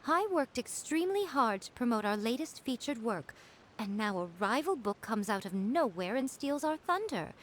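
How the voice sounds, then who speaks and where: A woman's voice: fairly high pitch, big pitch swings, normal speed, slight background noise. A woman voicing a haughty, teasing female fox-spirit shrine maiden in a video game, poised and knowing. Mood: indignant.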